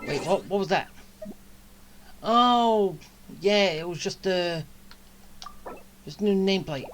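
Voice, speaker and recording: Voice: mid-range voice, slow pace, fairly bright tone, soft background music. A video game streamer giving casual commentary, muttering and thinking aloud in a rambling way. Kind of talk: casual gameplay commentary, talking to self.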